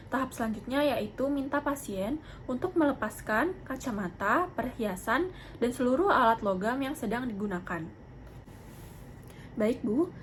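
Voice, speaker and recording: A young woman with a fairly high voice explains a procedure step by step. She is formal, polite and methodical. Faint noise sits behind her voice. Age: young adult. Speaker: dental student demonstrating a procedure. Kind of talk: instructional narration with role-played patient consultation. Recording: clearly noisy amateur video sound.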